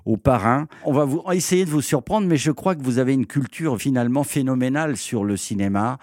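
A man's voice: medium pitch, a little fast, a little mellow, no echo. Playful male radio host, dry sound. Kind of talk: radio interview.

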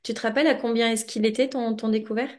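Casual, expressive woman hosting a podcast. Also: fairly high pitch, fast. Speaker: podcast host and money coach. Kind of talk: casual, chatty podcast interview.

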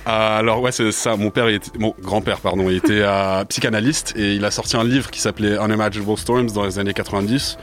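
Young man, low voice, halting answers. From France. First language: English. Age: 20s. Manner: hesitant, many fillers, relaxed. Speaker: rapper guest. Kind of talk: radio interview answers. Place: radio studio.

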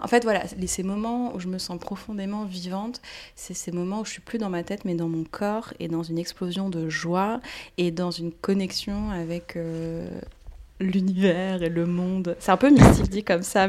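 A woman's voice: mid-range voice, fairly even intonation, moderate pace, darkish tone. A young French woman speaking thoughtfully in a casual interview, hesitating often with fillers and restarts. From France. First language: Portuguese and French. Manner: reflective, many fillers and restarts. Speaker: interview guest.

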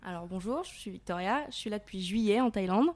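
Young woman interviewee, mid-pitched voice, careful explanations with fillers. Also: lively intonation, quick pace, a little mellow. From Paris region, France. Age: 20s. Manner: thoughtful, explanatory, frequent fillers. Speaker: guest, volunteer. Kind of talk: interview answers.

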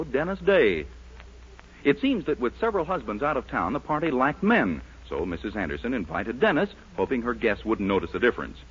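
An American man announcing in 1940s radio style, reading scripted ads and narration with polished, emphatic salesmanship and a husky voice. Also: mid-range voice, fairly bright tone. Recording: lo-fi vintage 1940s radio broadcast.

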